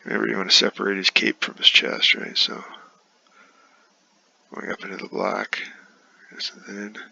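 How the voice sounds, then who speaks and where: A man with a cold, a bit congested, casually talking through an inking demonstration as he works, with soft muttered asides. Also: on the low side, normal speed, vocal fry, breathy edge, muffled recording. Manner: relaxed, talks while working, soft asides. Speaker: comic artist demonstrating inking.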